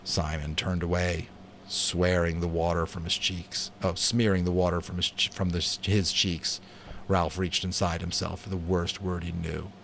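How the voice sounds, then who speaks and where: A man's voice: deep voice, little pitch movement, normal speed, creaky, a bit bright, slight background noise. A man giving a dramatic audiobook reading of a novel, sometimes stumbling and rereading a line. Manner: stumbles and rereads lines, stops to redo a take. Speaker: amateur voice actor reading a novel.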